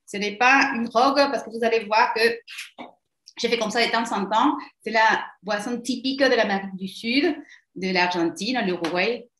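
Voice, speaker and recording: A woman with an Argentine accent presenting a webinar training as a psychologist, halting and searching for words. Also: fairly high pitch, fairly bright tone. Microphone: video call. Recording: roomy echo.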